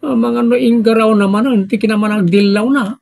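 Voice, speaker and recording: A man acts out an exasperated village husband in a family audio comedy. His voice is high for a man and rough-edged, recorded very dry. He scolds colloquially. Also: steady tone, brisk, darkish tone, no room sound. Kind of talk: Ilocano radio-style comedy drama acting.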